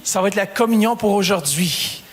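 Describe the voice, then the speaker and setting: A man's voice: high voice, moderate pace, husky, big-room sound. A man, preaching a sermon as pastor, calling out to the congregation with rising emphasis. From Canada.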